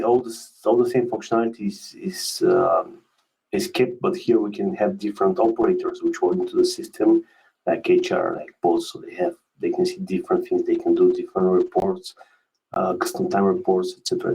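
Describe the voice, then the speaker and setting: A man giving a webinar product presentation as a specialist, speaking in a hesitant, halting way and often repeating words. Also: lowish voice, steady tone, vocal fry, mellow, slightly rough, low-quality recording.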